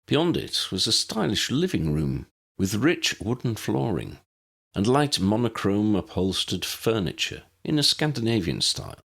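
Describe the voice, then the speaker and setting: Audiobook narrator: man, creaky low voice, reading scripted fiction with lively inflection. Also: unhurried.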